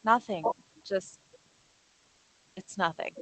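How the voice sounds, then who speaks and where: A woman acts a young woman in a horror drama, sarcastic and full of bravado. Her talk is conversational, at a middle pitch, recorded dry with no room sound. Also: takes it slowly, a bit bright, no echo, rough recording. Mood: uneasy. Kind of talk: table read of a horror film script.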